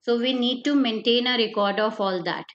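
Woman teacher, higher voice, patient and repetitive lecturing, lo-fi online class mic. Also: moderate pace. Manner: explains step by step, repeats key points, asks "right?". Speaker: accountancy teacher. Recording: slightly dull lo-fi online class audio with faint noise.

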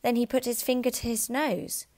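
A woman's voice: fairly high pitch, level tone, normal speed, darkish tone, voice pushed up, natural voice. A woman reading aloud, voicing a sly little girl who talks in a sweet, mock-innocent, matter-of-fact manner.

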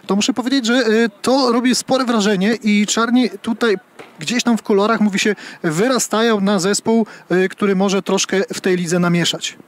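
High-pitched male sports interviewer, halting delivery. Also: steady tone. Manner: halting, with frequent filled pauses. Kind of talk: on-location sports interview questions. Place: outdoors on a football training pitch. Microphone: handheld reporter microphone.

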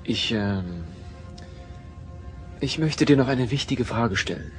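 A man's voice: mid-range voice, expressive, slow pace. An actor playing an earnest, eager young male doctor and bridegroom in a radio drama. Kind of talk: German radio play acting. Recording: loud music behind radio drama.

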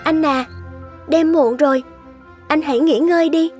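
A woman voicing a gentle, courteous young lady of good family in a cartoon fairy tale. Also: high-pitched, fairly even intonation, a little slow. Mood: tender. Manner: courteous, sweet. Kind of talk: animated fairy tale voice acting. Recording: music under the voice.

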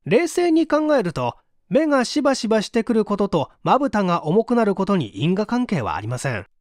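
A man narrates a book in a high voice with lively, swinging intonation. His delivery is scripted and explanatory, laid out clearly step by step. The recording is dry and close, with no room sound. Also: dry recording. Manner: measured, explanatory read-aloud with acted example lines. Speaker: audiobook narrator.

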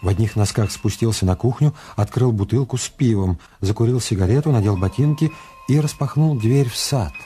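A man's voice: mid-range voice, brisk, dark tone, soft background music. A man giving dramatic scripted narration as the narrator of a radio play.

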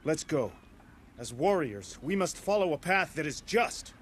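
An actor playing a noble young warrior in a video game, speaking formally with solemn, earnest conviction. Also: male voice, a little high, big pitch swings, a little slow, a little hiss or hum. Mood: grave resolve. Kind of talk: dramatic game cutscene acting.

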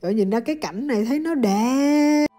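Travel vlogger: woman, southern Vietnamese accent, slightly high pitch, dark-soft relaxed tone, chatty and affectionate casual narration. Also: fast talker, faint music behind. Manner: chatty, addresses viewers affectionately.

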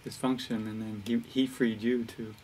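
A man's voice: mid-range voice, level tone, normal speed, darkish tone. Distant-miked man interviewing reflectively. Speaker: interviewer, community host. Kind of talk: conversational interviewing. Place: indoor set with curtain backdrop, seated. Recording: distant mic, background noise.

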